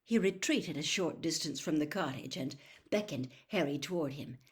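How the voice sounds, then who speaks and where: A woman with a slightly low voice reads fiction aloud. Her storytelling is measured and careful, with expressive phrasing suited to an audiobook. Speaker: audiobook reader.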